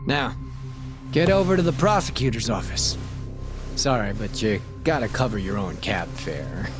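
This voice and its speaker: A man's voice: lowish voice, expressive, normal speed, over music, lightly acted. An actor playing a shady, sarcastic male police detective with a streetwise manner in an English video game dub. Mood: sly, casual. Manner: sarcastic, streetwise, foul-mouthed.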